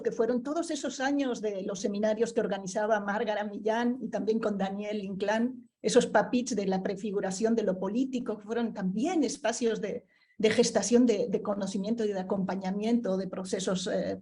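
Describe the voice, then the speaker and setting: Thoughtful woman with a mellow voice. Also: medium pitch. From Spain (Barcelona). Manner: thoughtful, searching for words, with frequent fillers and tag questions. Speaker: book presenter, panelist. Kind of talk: book presentation talk.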